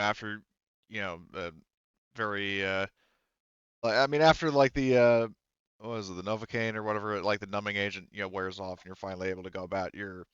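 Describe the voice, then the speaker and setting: A man acting as game master, narrating a tabletop game in a halting, conversational, sweary way. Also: on the low side, fairly bright tone, clean tone, no room sound, lo-fi sound.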